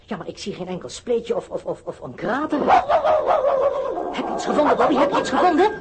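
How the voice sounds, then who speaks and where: A woman playing a plucky, excitable teenage boy adventurer in a radio play, exclaiming and stammering in surprise. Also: fairly high pitch, brisk. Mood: startled, amazed. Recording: loud ambient effects noise throughout.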